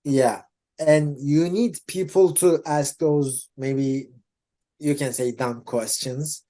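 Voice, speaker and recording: Turkish-accented man, higher voice. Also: steady tone, takes it slowly, dark tone. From Turkey. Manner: hesitant, many restarts and laughs. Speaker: interview guest, Salesforce developer. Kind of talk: casual conversational interview.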